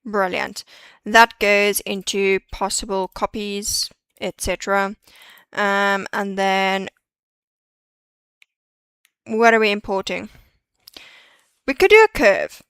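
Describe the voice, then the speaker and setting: Slow, clear-voiced woman thinking aloud. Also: mid-range voice, steady tone, fairly bright tone, dry recording. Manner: chatty, mutters to herself while working. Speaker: 3D artist streaming a modeling session. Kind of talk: live-stream tutorial, thinking aloud.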